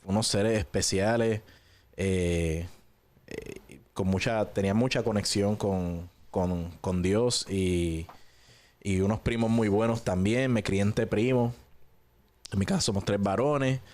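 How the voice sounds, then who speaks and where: Low-pitched Puerto Rican man, conversational. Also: takes it slowly, darkish tone. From Puerto Rico. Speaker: guest giving a personal testimony. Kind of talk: relaxed conversational storytelling.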